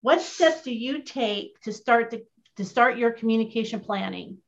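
An upbeat woman runs a casual online training session, chatting with her listeners and tossing them questions. Her voice sits a little high. The sound is muffled and lo-fi, like an online call. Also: normal speed, a little hiss or hum. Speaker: host of an online training chat. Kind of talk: casual webinar hosting. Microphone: video call.

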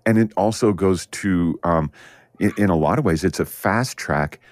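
A man's voice: low voice, moderate pace, dry recording. A man co-hosting a casual talk over an internet call, easygoing and reflective. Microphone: computer mic on an internet call, muting on and off.

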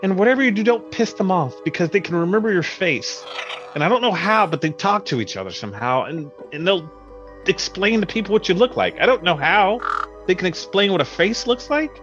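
A man's voice: high voice, expressive, a little fast, fairly bright tone, highs cut off, faint music behind. A man playing a condescending boss at a wizard outpost in an improvised comedy sketch, stammering and repeating himself sarcastically. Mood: sarcastic.

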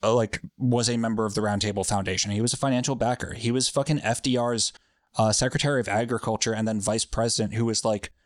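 A man's voice: fairly low pitch, fairly even intonation, fast, dry recording, clean studio sound. A man hosting a podcast, telling a story in a loose, rambling, profane way with lots of fillers. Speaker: podcast host. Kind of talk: casual storytelling chat.

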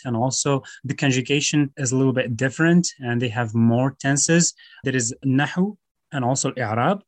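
A man's voice: medium pitch, no echo. Moroccan-accented man, dry close sound. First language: Tamazight and Moroccan Arabic. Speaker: Arabic teacher, podcast guest. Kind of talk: conversational interview, explaining.

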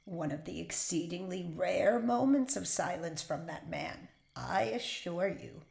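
Creaky, defiant Puritan prisoner. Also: female voice, lowish voice, animated, a little slow, a little mellow, full character voice. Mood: guarded, sardonic. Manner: dry, guarded, formal. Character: defiant, wry imprisoned Puritan wife. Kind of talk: period audio drama acting. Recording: echoing room sound.

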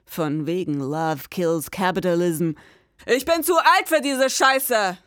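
A woman's voice: fairly low pitch, lively intonation, slow, a bit bright, dry recording, clean studio sound. A woman playing a cynical, exasperated detective in a satirical noir podcast drama, sceptical and dramatic. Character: cynical, exasperated female detective sidekick in a parody.

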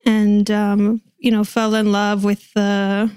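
A woman's voice: mid-range voice, completely flat delivery, slow pace, a little mellow, smooth, no room sound, studio quality. An American woman from the Washington DC suburbs, talking casually and hesitantly as an interview guest. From suburbs of Washington DC, USA. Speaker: podcast guest.

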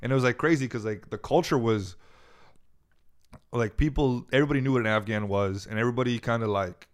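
A man's voice: medium pitch, brisk. A young adult man, a comedian from the Bay Area whose first language was Farsi, chatting casually on a podcast with slangy, rambling stories. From San Francisco Bay Area. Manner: laid-back slangy storytelling, lots of "like". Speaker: comedian guest.

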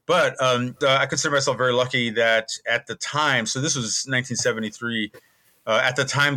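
A man's voice: mid-range voice, sharp tone. A man in his sixties from Hawaii, telling stories reflectively and explaining earnestly as a guest in a conversational interview. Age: 60s. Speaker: podcast guest, botanical curator and hula dancer.

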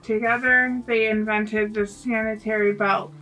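A woman with a bright, slightly high voice reads a prepared text slowly and in a monotone, breaking it into short phrases. She is a bit off the mic, with soft music behind. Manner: halting reading in short phrases. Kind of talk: scripted read-aloud segment. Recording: distant mic with faint music.

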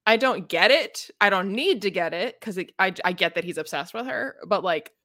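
A woman's voice: mid-range voice, expressive, normal speed, sharp tone, dry recording, clean studio sound. A woman co-hosting a podcast, talking casually about books and peppering her sentences with "like". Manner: peppers talk with "like". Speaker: podcast co-host. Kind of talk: casual book discussion chat.

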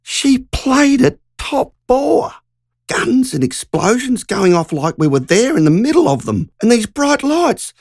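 Brief: narrator putting on a country woman, high and breathless, words rushing in a lively sing-song. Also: breathy edge, no echo, studio quality, exaggerated voice. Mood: vivid recounting. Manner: breathless, urgent storytelling. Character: shaken older country woman telling a story.

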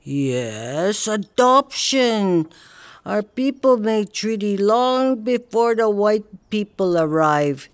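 An older woman playing a wise grandmother elder who speaks slowly and gently, telling teachings with frequent "you know". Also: mid-range voice, sing-song. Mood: patient. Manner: slow, gentle storytelling, many "you know". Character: wise Cree grandmother elder, calm and patient.

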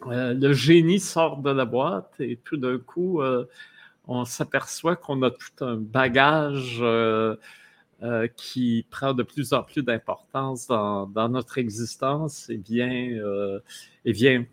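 Male host, slow and searching, filler-heavy. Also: mid-range voice. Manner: hesitant, many fillers, musing. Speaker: podcast host. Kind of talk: interview conversation.

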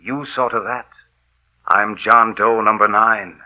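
An actor playing a cold, vengeful walking dead man in an old radio horror play, speaking in an ominous, deliberate, repetitive way. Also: on the low side, steady tone. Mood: menacing. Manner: slow, ominous, deliberate, repeating himself. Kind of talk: 1940s radio horror acting. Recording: muffled old 1940s radio recording.

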